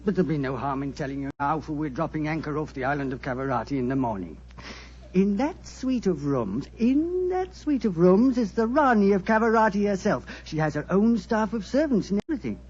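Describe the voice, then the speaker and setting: An actor playing a chatty, boastful, gossipy ship's doctor with a husky voice in a 1940s radio drama. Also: male voice, on the high side, lively intonation, darkish tone. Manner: chatty, drawn-out, self-important. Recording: muffled 1940s radio recording.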